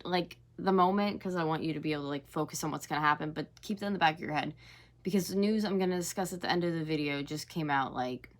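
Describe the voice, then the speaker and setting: A young woman in her twenties talks through a reaction video in a loose, gossipy way. Her voice sits on the lower side for a woman, and she leans on "like" between thoughts. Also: fast. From North America. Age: 20s. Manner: chatty and gossipy, heavy use of "like". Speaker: YouTube host reacting to clips. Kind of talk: casual reaction commentary.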